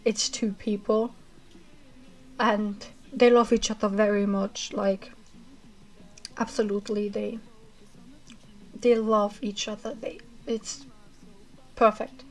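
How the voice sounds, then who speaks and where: A woman streamer chatting casually with her viewers as she wraps up a livestream, rambling with fillers and a self-described monotonous voice. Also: mid-range voice, slow pace, long pauses, rough recording. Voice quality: monotonous reading voice. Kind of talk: casual chat ending a livestream.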